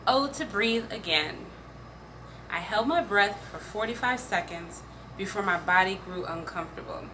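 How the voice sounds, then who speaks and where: A woman's voice: medium pitch, animated, normal speed. A woman reading spoken-word poetry aloud in an earnest, emphatic way. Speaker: poetry reader. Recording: faint background noise.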